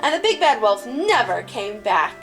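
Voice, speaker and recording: A woman hosting and telling a children's story in an expressive storybook style. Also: on the high side, moderate pace. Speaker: host and storyteller. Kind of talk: animated storybook narration for children. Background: music.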